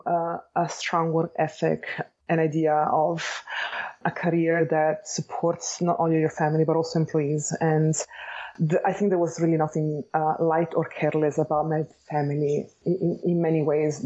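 A woman with an Italian accent speaking thoughtfully and in measured phrases as an interview guest, reflecting on her own life. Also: sits low, little pitch movement, mellow, muffled recording. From Italy. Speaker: interview guest, architect and educator.

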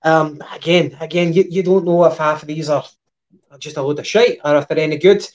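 A man with a fairly high voice, working through the news in a measured way and leaning on ums and uhs. The sound is dull and lo-fi, as if from a cheap mic. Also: fairly even intonation. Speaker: podcast co-host. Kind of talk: casual football chat.

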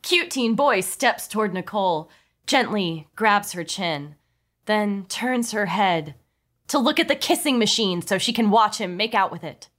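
A woman's voice: mid-range voice, expressive, a little slow, a bit bright. A woman reading stage directions in an amused way as the narrator of a comedic script table read.

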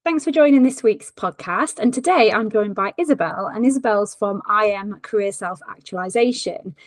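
A woman's voice: mid-range voice, normal speed, no room sound. Friendly woman podcast host, dry sound. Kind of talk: interview hosting.

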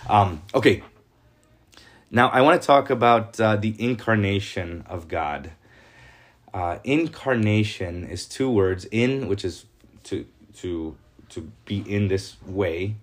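A man teaching from the Bible as a pastor, talking informally with rhetorical questions and frequent hesitations. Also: deep voice. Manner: conversational, asks rhetorical questions, many hesitations.

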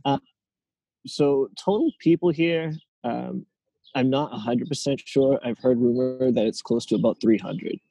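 A man in his late twenties, a guest talking casually and haltingly, with lots of fillers and restarts. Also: medium pitch, vocal fry, mellow, no room sound, rough recording. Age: 20s. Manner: hesitant, many fillers and restarts. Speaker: interview guest. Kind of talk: casual conversation.

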